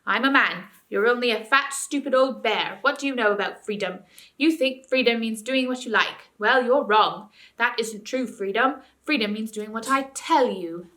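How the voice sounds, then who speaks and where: A woman's voice: a little high, normal speed, bright, forceful tone. A woman voicing a scheming, bullying old male ape who sneers and snarls at the other animals. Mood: scornful.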